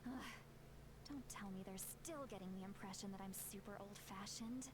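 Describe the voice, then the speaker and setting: A woman's voice: medium pitch, normal speed, very noisy, close to an everyday voice. A woman voicing a spirited, fretful elderly shaman who tries to sound youthful, with theatrical, chatty delivery in a video game. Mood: fretting. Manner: chatty, theatrical self-questioning.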